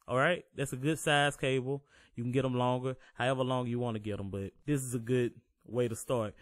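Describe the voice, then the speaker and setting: A deep-voiced man hosting a casual home-recording tutorial as a voice-over, laid-back, reassuring and loose with profanity. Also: no echo, clean studio sound.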